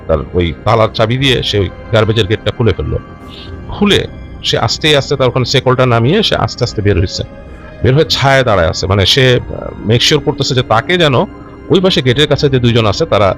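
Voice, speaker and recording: Animated Bangladeshi man telling ghost stories. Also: mid-range voice. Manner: conversational, hesitant and repetitive, mixing in English words. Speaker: horror storyteller. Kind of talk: unscripted spoken ghost-story narration. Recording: music under the voice. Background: background music.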